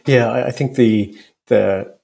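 Guy doing a podcast interview, husky voice, long wandering questions. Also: medium pitch, steady tone, slow, dark tone. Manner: wordy, circling questions with self-corrections. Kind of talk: conversational interview.

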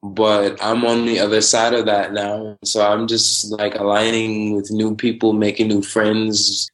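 A man in his twenties from Trinidad and Tobago, talking casually as a podcast guest, animated and quick to laugh. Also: fairly low pitch, rough recording. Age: 20s. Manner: animated, laughs often, spiritual slang-heavy talk. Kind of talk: casual conversational interview.

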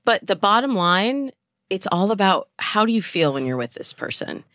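A woman's voice: medium pitch, sing-song, moderate pace, fairly bright tone, no room sound, clean studio sound. A woman speaking as a dating coach and interview guest, explaining her advice conversationally with illustrative examples.

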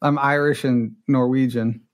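A man's voice: medium pitch, steady tone, measured pace. A middle-aged man from Los Angeles whose first language was Spanish, a guest telling his own story in a candid, self-deprecating way with frequent restarts.

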